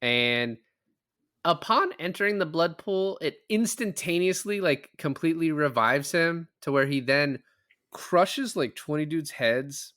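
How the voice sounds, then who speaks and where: Bright-voiced man in rambling casual chat. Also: fairly high pitch, expressive, unhurried. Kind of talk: casual movie discussion podcast chat.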